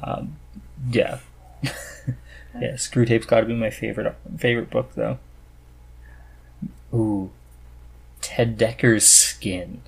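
Low, husky-voiced man chatting casually. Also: fairly even intonation, slow, pauses often, poor audio. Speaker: podcast host. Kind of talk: casual chat about books.

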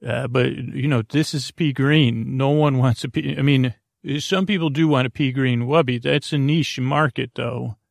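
A man with a creaky voice hosting a bedtime podcast, rambling in an improvised, halting monologue that meanders and loses its thread. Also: medium pitch, steady tone, dry recording, clean studio sound. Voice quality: creaky, gravelly. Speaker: podcast host.

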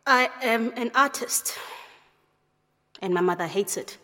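A woman's voice: fairly high pitch, a little slow, pushed and bright. Woman making plain statements in a reverberant hall. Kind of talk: short spoken statements in an art video. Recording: art video played in a reverberant hall.

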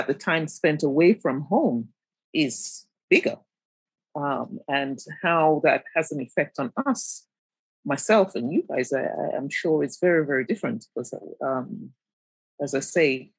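A woman's voice: low-pitched, breathy edge, no echo, rough recording. A woman in her fifties from Jamaica, with an accent mixing Jamaican and British, laughing often as a podcast guest. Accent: mixed Jamaican and British, hard to place. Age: 50s. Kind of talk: casual conversation.

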